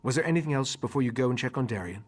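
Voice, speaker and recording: An actor playing a stern, commanding elder mage in a fantasy video game, speaking with measured authority. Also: male voice, mid-range voice, animated, a little hiss or hum, natural voice. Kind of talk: video game character dialogue.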